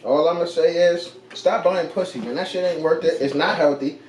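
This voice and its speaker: A man in his late twenties co-hosting a podcast, loud and animated, talking in slang and joking a lot. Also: fairly high pitch, moderate pace, roomy sound, a little hiss or hum, poor audio. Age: 20s. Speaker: podcast co-host. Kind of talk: casual chat.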